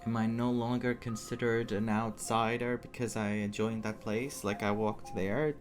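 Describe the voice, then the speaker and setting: A man's voice: on the low side, flat delivery, measured pace, faint music behind. A man giving casual playthrough commentary on a story game, informal and musing aloud as he goes. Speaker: gaming YouTuber playing through a story quest.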